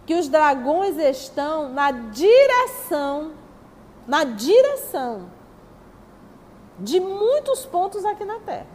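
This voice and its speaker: Brazilian woman, spiritist lecturer, high voice, teacherly and rhetorical, echoing hall. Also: big pitch swings, takes it slowly, darkish tone. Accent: Brazilian Portuguese. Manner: teacherly, rhetorical questions answered herself. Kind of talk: religious study talk, reading and commenting on texts. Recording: long hall reverb, faint background noise.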